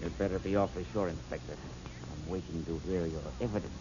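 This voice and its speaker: A man's voice: low voice, steady tone, brisk, warm tone, natural voice. An actor playing a menacing male ex-convict in a 1940s radio drama, on a noisy, muffled old broadcast. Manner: cold, menacing. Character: menacing ex-convict, adult man.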